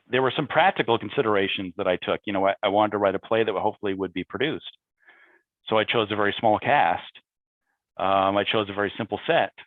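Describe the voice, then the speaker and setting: A man speaking as a playwright in a talkback, reflecting on his process in halting, thoughtful phrases. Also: low-pitched, dry recording. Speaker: playwright on a panel. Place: remote video call. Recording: phone-like narrow-band video call audio.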